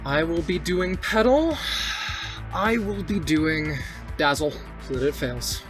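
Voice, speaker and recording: Tabletop role-playing player, medium pitch, narrating character moves, soft music behind. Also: animated, slow pace. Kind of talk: tabletop game talk, narrating character actions.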